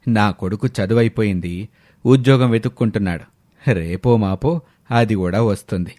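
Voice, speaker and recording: Man acting a weary low-voiced old village farmer. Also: moderate pace, warm tone, natural voice. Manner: sighing, folksy, world-weary. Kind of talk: dramatised moral story acting.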